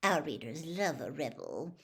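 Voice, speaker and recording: A woman narrator acts out a middle-aged female tabloid reporter from a storybook. She is gushing and falsely sweet, yet brisk and pushy. Her pitch swoops up and down as she coaxes and probes. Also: sing-song, creaky, exaggerated voice. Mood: probing.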